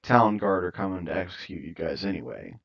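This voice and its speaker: A man's voice: low-pitched, fairly quick, creaky, a bit bright. A man running a tabletop role-playing game as game master, talking casually and hesitantly with many fillers. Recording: muffled lo-fi mic.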